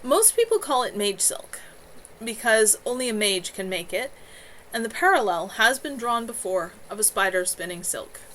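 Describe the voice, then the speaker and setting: A woman reading a novel aloud, voicing a calm, careful teenage mage who explains things in a measured way. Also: a little high, a little hiss or hum. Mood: explaining.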